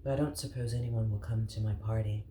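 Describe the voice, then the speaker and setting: A storybook reader voicing a fussy male sloth who speaks slowly and mournfully. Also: mid-range voice, steady tone, warm tone, roomy sound, a little hiss or hum, lightly acted. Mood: sad. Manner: slow and mournful.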